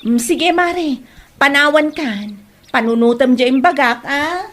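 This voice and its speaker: A woman's voice: high voice, lively intonation, a little slow. A woman playing a frank friend who admonishes and advises, with an Ilocano accent, in a comedy audio drama. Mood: admonishing. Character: frank, advice-giving female friend and neighbour.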